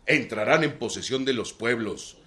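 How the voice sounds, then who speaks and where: A man's voice: mid-range voice, animated, moderate pace, a bit bright, lightly acted. An actor playing a revolutionary comrade in a radio drama, solemn and declamatory as he addresses fighters. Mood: solemn, proclaiming.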